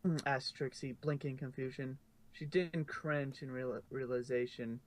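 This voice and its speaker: A man's voice: medium pitch, steady tone, measured pace. A man reading scripted fan fiction narration, sometimes stumbling and correcting himself.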